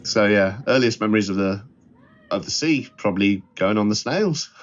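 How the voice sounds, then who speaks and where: A man's voice: lowish voice, normal speed. A middle-aged man reminiscing casually and chattily, repeating phrases for emphasis. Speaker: interviewee sharing memories. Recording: dull, slightly lo-fi sound.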